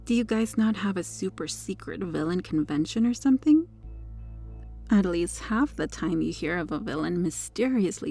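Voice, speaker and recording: A woman's voice: on the low side, dark tone. A woman playing a corrupted, possessive superheroine in an ASMR roleplay, flirtatious, teasing and condescending toward the listener. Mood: smug flirtatious.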